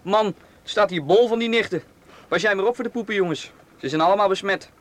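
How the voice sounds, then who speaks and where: A man acting a teasing young man in a radio drama. He talks bluntly and probingly in a somewhat high voice. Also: quick pace, natural voice. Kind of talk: Dutch radio play acting.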